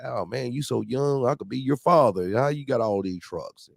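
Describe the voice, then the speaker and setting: A man's voice: medium pitch, moderate pace, gravelly, no echo. Slangy man hosting, dry close sound. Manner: slangy, animated anecdote telling with acted-out quotes. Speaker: call-in show host. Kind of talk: casual trucking chat and storytelling.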